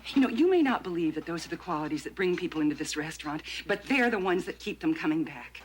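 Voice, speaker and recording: An actress playing a proud, sharp-tongued restaurant hostess in her forties, speaking in an emphatic, theatrical sitcom manner. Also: on the low side, animated, fast talker, lightly acted. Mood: indignant. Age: 40s. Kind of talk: sitcom acting.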